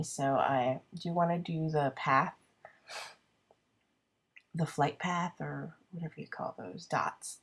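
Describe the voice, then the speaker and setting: A woman's voice: low-pitched, breathy edge. A congested woman with a cold narrating her card-making casually, thinking aloud and poking fun at her own mess. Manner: chatty, self-deprecating, thinking aloud with many ums. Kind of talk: casual craft video narration.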